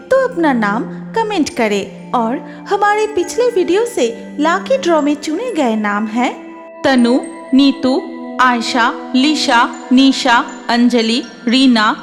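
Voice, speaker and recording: A woman narrating a scripted cartoon story for children, with music behind her voice. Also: sits high.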